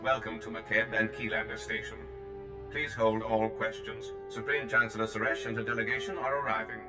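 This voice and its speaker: A man playing a courteous protocol officer droid in a video game, making formal, measured announcements. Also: lowish voice, monotone, natural voice. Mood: formal courtesy. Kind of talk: scripted video game acting. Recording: echoey, with loud game music behind.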